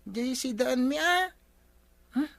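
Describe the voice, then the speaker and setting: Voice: high voice, animated, slow pace, gravelly, fairly bright tone, dry recording, exaggerated voice. A woman playing a cheeky, inquisitive grandchild in an Ilocano comedy radio drama. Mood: teasing accusation. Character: nosy, cheeky young grandchild of the village captain. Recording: faint background noise.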